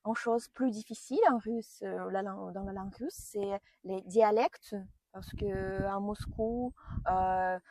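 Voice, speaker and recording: Hesitant young woman, slow questions. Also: medium pitch, fairly even intonation, warm tone, dry recording. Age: young adult. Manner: hesitant, many fillers, laughs often. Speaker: student interviewer.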